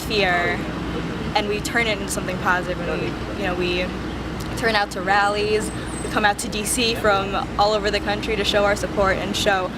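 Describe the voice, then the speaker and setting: Woman in her twenties, earnest testimony, mid pitch, street noise. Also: flat delivery, a little fast. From Philippines. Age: 20s. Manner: earnest, fluent with fillers. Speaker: interviewee, student. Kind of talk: personal testimony. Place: outdoors at a rally. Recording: loud outdoor background noise.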